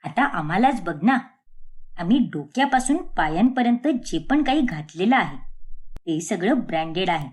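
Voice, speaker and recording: A woman's voice: lowish voice, expressive, moderate pace, a little mellow, pitched below the performer's own voice, lightly acted. Woman voicing snobbish rich young socialite, swooping intonation. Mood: lecturing, superior. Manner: condescending, lecturing, fashion-obsessed.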